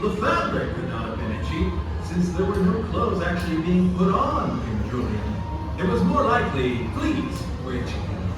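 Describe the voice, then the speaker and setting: High-pitched, lively male storybook narrator. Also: normal speed, music in the background. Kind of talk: scripted storybook narration for puppet show. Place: indoor attraction puppet show, heard from audience distance. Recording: echoey, distant venue with background noise.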